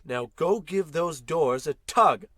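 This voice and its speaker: Man acting as space captain, high-pitched, unhurried, pompous, short-tempered, commanding, radio comedy. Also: animated, a bit bright, no room sound, clean studio sound, full character voice. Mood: bullying. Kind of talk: scripted old-time radio comedy acting.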